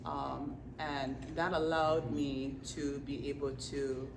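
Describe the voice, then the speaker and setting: A speaker tells a personal story slowly, hesitant and earnest with many pauses and restarts. The voice sits far from the mic in a roomy space. Steady background noise runs beneath. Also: medium pitch, vocal fry. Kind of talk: personal testimony. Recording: roomy, distant, noisy room recording.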